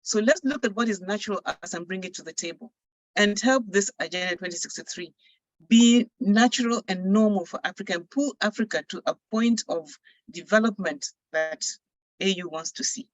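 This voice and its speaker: A woman's voice: mid-range voice, a little fast, no echo, poor audio. A woman with a Ghanaian English accent, speaking earnestly and persuasively as a coach moderating an online panel. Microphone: video call.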